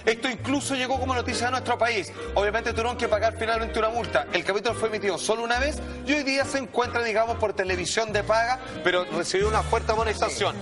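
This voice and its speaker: A man's voice: very high-pitched, quick pace, few pauses, over music. A man with a Chilean accent presenting a talk show, telling stories and commenting in a theatrical, slangy way and often calling for attention. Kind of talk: animated storytelling and commentary on cartoons.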